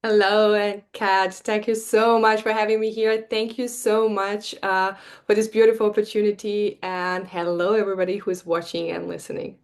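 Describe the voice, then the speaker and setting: A woman in her forties from Bosnia, speaking as a podcast guest, earnest and heartfelt with a slightly halting delivery. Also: medium pitch, little pitch movement, clean tone. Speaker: guest, marketing coach and energy healer. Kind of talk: podcast interview.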